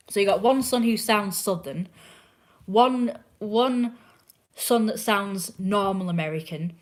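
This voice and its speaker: Hoarse young English woman chatting. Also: medium pitch. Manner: opinionated, chatty, drifts into hushed asides. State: hoarse sore throat. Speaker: livestreamer chatting with a viewer. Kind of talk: casual rambling chat. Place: indoors at home, curled in a chair.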